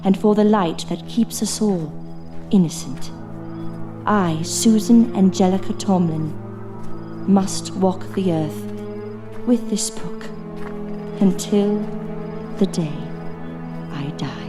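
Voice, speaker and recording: A woman's voice: medium pitch, slow, pauses often, close to an everyday voice. A woman playing an earnest, resolute heroine burdened with fighting evil in a theatrical horror audio drama. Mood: solemn resolve. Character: young woman burdened with banishing evil, frightened yet resolute. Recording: echoey long reverb over music.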